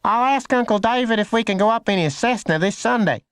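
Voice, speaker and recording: A man voicing an eager, excited young schoolboy in an animated way for a children's storybook. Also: high-pitched, fairly quick, clean tone, no room sound, voice pushed up. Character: eager young boy, Lenny's school friend Carl Lee.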